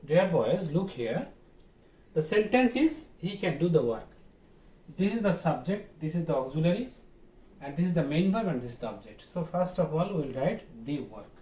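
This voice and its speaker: Male schoolteacher, Bangladeshi accent, slightly high pitch, distant mic, explains grammar step by step. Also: sing-song, dark tone. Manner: deliberate, repetitive, checking in with pupils. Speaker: teacher. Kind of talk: classroom grammar lesson. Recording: echoey, distant mic, noisy room.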